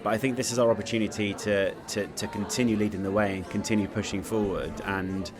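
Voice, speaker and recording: A man's voice: lowish voice, steady tone, brisk, over music. A man giving casual interview answers, hesitating and repeating words as he searches for them. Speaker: event organiser and performer.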